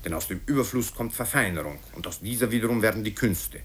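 A man's voice: on the low side, quick pace, a bit bright, a little hiss or hum, pitched above the performer's own voice, natural voice. A man voicing a pompous politician who declaims self-righteous accusations with emphasis in a satirical radio story. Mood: accusing, righteous. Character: pompous male politician hurling accusations at his rival.

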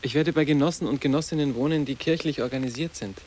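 An actor as dry, sarcastic teenage schoolboy. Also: male voice, fairly high pitch, quick pace, a little mellow, slight background noise, close to an everyday voice. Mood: determined. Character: sarcastic, idealistic teenage schoolboy resisting his elders. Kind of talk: literary German radio play acting.

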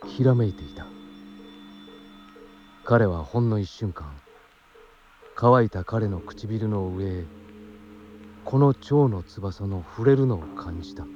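Casting: male actor as solemn literary reader for radio drama, mellow low voice, measured phrasing. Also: lightly acted. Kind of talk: scripted literary recitation in a radio play. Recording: dull sound with faint background noise.

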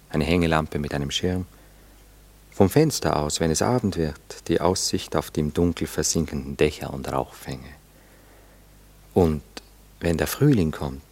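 An actor playing a courteous, reserved gentleman in a radio play, speaking in a polite, reflective and evasive way. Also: very deep voice. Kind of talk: intimate radio play dialogue.

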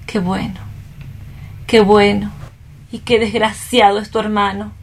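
An actress playing an anguished, remorseful young woman in a radio theatre reading, earnest and melodramatic, with deliberate phrasing. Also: medium pitch, level tone, a little hiss or hum, natural voice. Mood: sorrowful.

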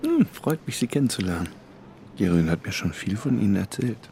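An actor playing a politely cool, probing police officer in a naturalistic radio drama. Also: male voice, on the low side, sing-song, fairly quick, dark tone.

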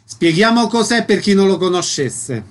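Male radio host for interviews, voice a little on the high side. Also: expressive, normal speed, a little hiss or hum. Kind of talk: broadcast interview.

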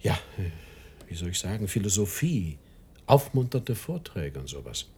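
An actor playing a secretive, earnest and self-important American broadcaster in a German radio play, husky and confiding, with halting, deliberate phrasing. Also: male voice, deep voice, very animated, darkish tone, full character voice.

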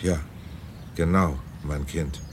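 An actor playing a genteel, fussy, middle-aged general and father in a German radio drama, courtly and husky. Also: rumbling low, slow, a little hiss or hum. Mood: concerned. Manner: courtly, animated.

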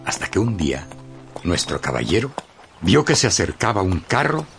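A man tells a scripted radio story with theatrical suspense. His voice is fairly low and creaky and moves widely in pitch. Music runs clearly underneath. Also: sing-song, moderate pace, fairly bright tone. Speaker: narrator.

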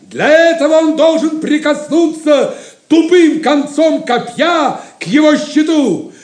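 An actor playing a medieval tournament herald, proclaiming loudly and ceremonially with drawn-out words in an audio drama. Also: male voice, very high-pitched, lively intonation, heavily acted. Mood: solemn, proclaiming. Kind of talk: theatrical audio drama acting. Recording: echoing, faint music behind.

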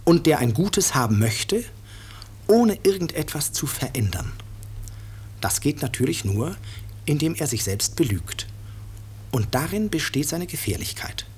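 A man playing an author who addresses listeners in measured, deliberate, dryly ironic radio-play narration. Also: fairly high pitch, very animated, darkish tone. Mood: ironic, emphatic. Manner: measured, deliberate, dry irony. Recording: slightly noisy 1950s radio recording.

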